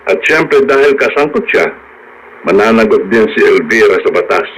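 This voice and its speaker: An actor with a Filipino accent playing a calm, reassuring attorney who explains things in a measured radio drama manner. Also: male voice, lowish voice, slight background noise, rough recording. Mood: matter-of-fact. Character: calm, reassuring male attorney bringing good news.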